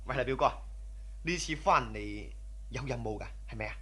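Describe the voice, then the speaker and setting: Eager underling with a bright voice. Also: male voice, medium pitch, normal speed, slightly rough. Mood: admiring, curious. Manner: deferential, easily impressed, chummy. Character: humble, eager casino underling, admiring younger male cousin. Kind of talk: Cantonese radio drama acting.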